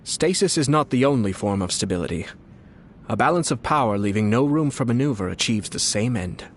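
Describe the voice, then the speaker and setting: An actor playing a composed, shrewd young nobleman in a game, courteous and measured, with polished formal speech and a quietly scheming, teasing air. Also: medium pitch, expressive, slight background noise, lightly acted. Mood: calm, calculating. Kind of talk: scripted game dialogue.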